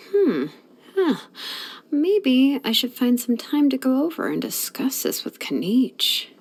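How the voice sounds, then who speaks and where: An actress playing a laid-back, wry young female blacksmith in a fantasy video game, talking conversationally with musing pauses. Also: mid-range voice, close to an everyday voice. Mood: annoyed, musing. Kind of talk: scripted game character voice lines, conversational.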